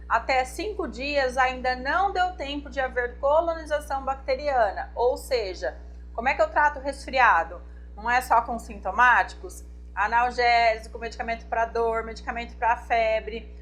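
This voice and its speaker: A woman's voice: a little high, a bit bright, slight background noise. A woman doctor from Brazil, explaining a medical condition to viewers in a didactic talk, often checking in with them. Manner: didactic, addresses viewers directly with check-ins. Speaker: infectious disease doctor. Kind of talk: explanatory health talk to camera.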